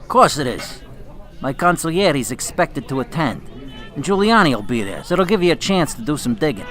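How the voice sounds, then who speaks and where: An actor playing a shrewd, proud Italian-American mafia boss in a radio drama, talking in a smooth, wry, streetwise way. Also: male voice, a little high, big pitch swings, moderate pace, a bit bright. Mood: assured. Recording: music behind the voice.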